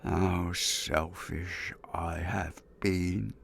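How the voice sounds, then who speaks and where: A man voicing a huge, remorseful old male giant in a storybook reading, speaking slowly and heavily. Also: sits low, steady tone, gravelly, fairly bright tone, slight background noise, pitched below the performer's own voice, heavily acted. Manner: slow, heavy, solemn delivery.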